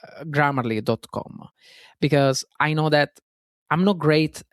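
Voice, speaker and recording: A man's voice: a little high, measured pace, no echo, studio quality. A man with an Italian accent hosting a self-help podcast on his own, talking earnestly, often restarting phrases and adding fillers. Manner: earnest, self-correcting, frequent fillers and "guys". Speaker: podcast host.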